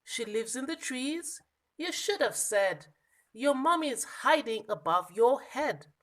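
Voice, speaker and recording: Woman as a confident muddled butterfly, storybook character, encouraging, emphatic, higher pitch, unhurried. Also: expressive. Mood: confident, eager. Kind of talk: children's storybook character reading.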